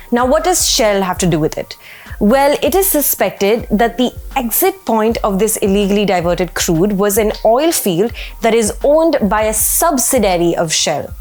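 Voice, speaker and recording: A woman presenting a scripted news explainer in a measured, explanatory manner. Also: fairly high pitch, a little mellow.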